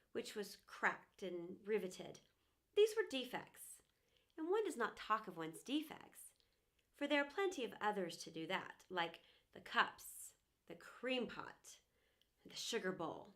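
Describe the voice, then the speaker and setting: A woman with a high-pitched voice hosts a storytime for children. She reads aloud expressively, leaning on key words with dramatic, emphatic phrasing. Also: fairly bright tone.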